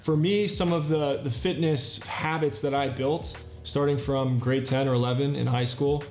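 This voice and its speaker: A man's voice: medium pitch, fairly even intonation, moderate pace, darkish tone. A man in his thirties hosting a self-improvement talk, explaining in an earnest way with repeated list-like phrasing. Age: 30s. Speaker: channel host. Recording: echoey room with faint music. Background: faint background music.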